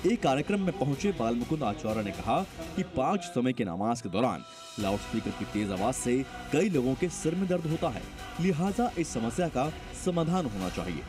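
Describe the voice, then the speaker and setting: A man's voice: medium pitch, sing-song, moderate pace. A man narrating a scripted television news report in a dramatic, emphatic manner. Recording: voice-over with music bed.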